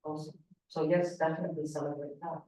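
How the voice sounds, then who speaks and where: A woman with a Palestinian accent answering as a panel speaker, thoughtful and measured, with pauses and fillers. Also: low-pitched, flat delivery, mellow. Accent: Palestinian Arabic, Syrian-leaning. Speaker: panel speaker, political analyst. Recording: roomy hall, voice from far off.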